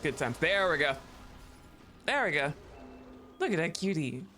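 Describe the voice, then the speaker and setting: A male streamer chatting casually to his viewers, excitable, laughing often and swearing freely. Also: a little high, unhurried. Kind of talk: casual livestream chat. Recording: faint music behind the voice.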